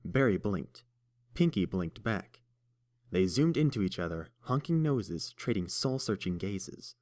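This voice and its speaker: A man reads the story aloud in a steady, measured way, his voice sitting a little low. He narrates evenly, handing off to the characters' voices. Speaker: audiobook narrator. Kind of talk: scripted fan fiction narration.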